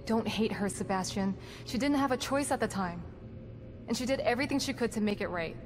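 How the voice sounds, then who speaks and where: An actress playing a composed, caring and brave team psychologist in a video game, explaining things in a reassuring manner. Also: female voice, a little high, a little fast, close to an everyday voice. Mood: consoling. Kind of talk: dramatic video game acting. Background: music.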